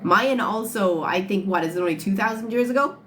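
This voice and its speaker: A woman's voice: mid-range voice, a little fast, a bit bright. A woman in her late twenties with an Irish accent, chatting bluntly with viewers on a livestream and swearing freely. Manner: blunt, swears freely, answers chat questions. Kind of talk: casual livestream chat with viewers. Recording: phone livestream with audible background noise.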